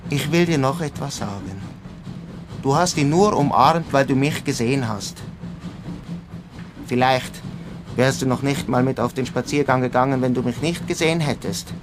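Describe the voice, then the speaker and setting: A man's voice: medium pitch, normal speed, long pauses, background noise, close to an everyday voice. An actor playing a jealous, reproachful young man in a German radio drama, speaking accusingly and insistently. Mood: bitter. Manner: accusing, insistent, repeats himself. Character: jealous, reproachful young boyfriend confronting his girlfriend.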